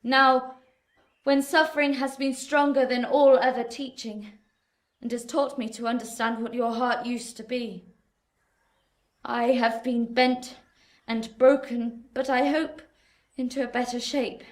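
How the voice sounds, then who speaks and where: An actress playing a gentle, sorrowful Victorian woman in a period radio drama, earnest and formal. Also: on the high side, level tone, measured pace, close to an everyday voice. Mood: sorrowful, pleading. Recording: echoey room, environmental noise.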